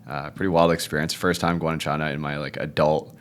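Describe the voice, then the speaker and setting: A man in his twenties whose first language is Cantonese, chatting as a podcast guest in a relaxed, rambling way and often tagging sentences with "if you will, right?". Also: extremely low pitch, quick pace, clean tone. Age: 20s. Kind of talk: casual interview chat.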